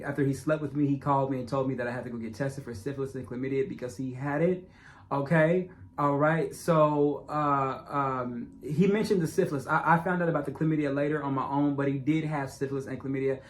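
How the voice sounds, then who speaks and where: A man with a stutter and a noticeable speech impediment narrates his own documentary. He speaks in a loose, conversational way, addressing viewers directly. He repeats lines for emphasis. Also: on the high side. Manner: repetitive, emphatic, talks straight to viewers. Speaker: self-made documentary narrator. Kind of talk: casual direct-address monologue.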